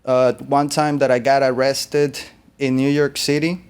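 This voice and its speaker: Smooth-voiced man from Colombia. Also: mid-range voice, a little slow. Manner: relaxed, rambling with fillers. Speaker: guest artist. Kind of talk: interview conversation.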